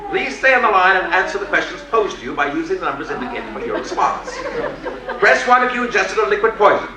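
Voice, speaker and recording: A man voicing a brisk, relentlessly chirpy automated hospital phone menu in sing-song announcements, as part of a comic stage story. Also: high-pitched, sing-song, recorded from a distance, a crowd in the background, pitched above the performer's own voice. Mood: brightly officious. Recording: echoey, noisy stage recording from afar.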